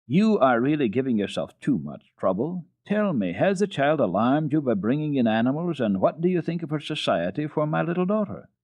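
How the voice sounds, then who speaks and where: A man playing a genial, wry gentleman father with a brisk, courteous manner in a children's storybook reading. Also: on the high side, sing-song, no echo, voice pushed up. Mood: impatient.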